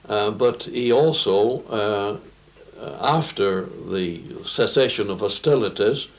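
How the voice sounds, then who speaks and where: Slow, hesitant man in an interview. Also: medium pitch. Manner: hesitant, pausing with frequent uhs. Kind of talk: unscripted interview recollection.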